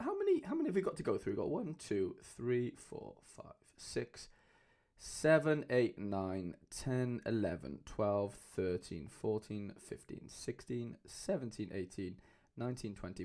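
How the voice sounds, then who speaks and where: A young man with a Cardiff accent chatting casually as a livestream host, loose and rambling with lots of fillers, speaking into a mic on a boom arm at home. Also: wildly swooping pitch, normal speed, a little mellow. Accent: Cardiff Welsh. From Wales. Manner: chatty, loose, many fillers, calls viewers lads.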